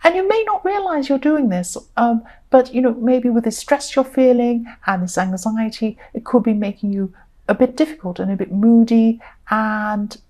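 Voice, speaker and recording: A woman giving a tarot reading with a slightly croaky voice, talking gently and reassuringly with lots of "you know" fillers. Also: a little high. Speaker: tarot reader.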